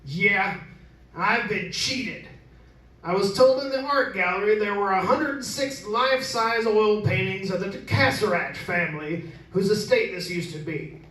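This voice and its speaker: A man's voice: high voice, a little slow, pushed and bright. An actor playing a blunt, bossy, wealthy middle-aged father in an amateur old-time radio drama. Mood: indignant. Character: blunt, wealthy, brash father who bought an old house. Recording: echoey room, distant microphone.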